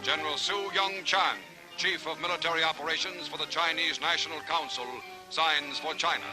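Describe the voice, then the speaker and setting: 1940s American newsreel announcer over loud music. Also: male voice, on the high side, fairly even intonation, moderate pace, poor audio. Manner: dramatic, formal announcer delivery. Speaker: newsreel narrator. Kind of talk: 1940s newsreel scripted narration.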